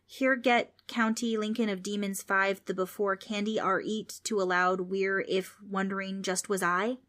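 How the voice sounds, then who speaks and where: Nervous little boy, voiced by a woman narrator; high and hesitant, very polite. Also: little pitch movement, unhurried, a bit bright, natural voice. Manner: timid, overly polite.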